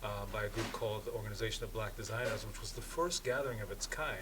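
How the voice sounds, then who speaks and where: A man's voice: on the low side, fast. A man speaking as a panelist, telling anecdotes in a conversational discussion. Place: live event panel. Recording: distant microphone, faint room noise.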